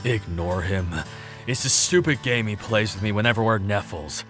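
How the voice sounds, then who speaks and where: Man acting a weary, low, tense-voiced grumbler. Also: normal speed, a bit bright, faint music behind, lightly acted. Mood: resigned. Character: weary, grumbling immortal man who can't get drunk. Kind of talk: audio drama acting.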